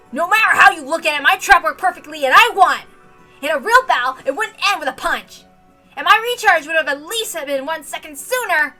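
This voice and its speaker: Hot-headed young boy fighter played by a woman: high, piercing, indignant and bossy, for a dramatic story reading. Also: bright, forceful tone, full character voice. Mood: indignant, bragging. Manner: emphatic, scolding, indignant. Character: short, hot-headed, boastful young male fighter, lecturing rival.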